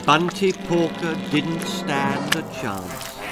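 Slow, theatrical older male storyteller. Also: a little high, animated, low-quality recording. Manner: theatrical, deliberate, suspenseful. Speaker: author reading his own story. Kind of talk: dramatic children's horror-comedy storytelling. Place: book-lined room indoors. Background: music.

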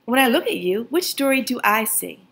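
A woman reading a picture book to children in a fairly high, gently gravelly voice. She speaks straight to her young listeners, pausing to ask them questions. Her tone lifts expressively on each question. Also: a little hiss or hum. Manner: expressive, lively pitch swings on questions. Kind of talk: children's read-aloud.

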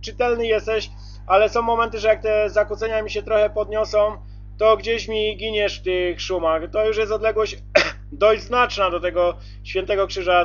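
A man's voice: high voice, highs cut off. A man talking casually as a CB radio operator, repeating himself as he checks and confirms reception. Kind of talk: casual radio contact talk.

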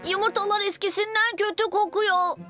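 A woman's voice: extremely high pitch, steady tone, brisk, pushed and bright, faint music behind. A woman voicing a cocky, bossy young cat-boy superhero in a children's cartoon dub. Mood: urgent.

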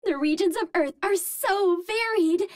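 An actress playing a cute, earnest young Martian heroine in a video game, speaking politely in precise, formal phrases. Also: female voice, very high-pitched, a little slow. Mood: curious, earnest. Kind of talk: video game character voice lines.

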